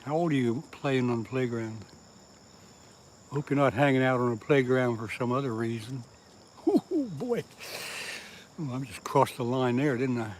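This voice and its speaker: A man's voice: mid-range voice, expressive, moderate pace, darkish tone, slight background noise. A man from southeast Pennsylvania chatting on a casual fishing livestream, reading viewer comments aloud and laughing at his own jokes. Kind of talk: casual live-stream chat with viewers. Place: outdoors by the water.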